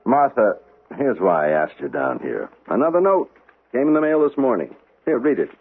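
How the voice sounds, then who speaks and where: American male police lieutenant, earnest and impatient, animated delivery, thin vintage radio drama sound. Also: mid-range voice, normal speed, husky, narrow, tinny audio. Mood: impatient, urgent. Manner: blunt, plain-spoken. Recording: thin band-limited old radio recording.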